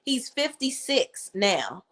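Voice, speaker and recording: A woman's voice: sing-song, takes it slowly, a bit bright. Chatty woman with a drawl. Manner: chatty, acts out quotes in a lowered voice, colloquial. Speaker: co-host of a reality TV opinion panel. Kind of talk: casual chat.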